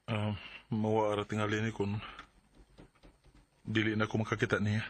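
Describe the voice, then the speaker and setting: A man's voice: fairly low pitch, monotone, normal speed, close to an everyday voice. An actor playing a sad, self-doubting young man in a radio drama, plaintive and hesitant, with a Bisaya Filipino accent. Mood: dejected. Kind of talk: sentimental radio drama acting. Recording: music under the voice.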